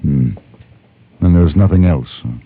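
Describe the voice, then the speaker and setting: An actor playing a calm, probing frontier gentleman who is hero and narrator of a Western radio drama, measured and understated in his questioning. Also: low voice. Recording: dull old radio recording with music.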